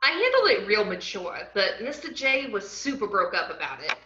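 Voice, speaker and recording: A roomy, slightly dull recording of a brash, unhinged young antiheroine, played by a woman in a table read. She tells her own story in a bright, cheeky voice that swoops all over. It is bubbly and expressive. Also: medium pitch, normal speed. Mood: wry. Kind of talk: first-person scripted narration in an amateur table read. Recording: roomy, muffled online call audio.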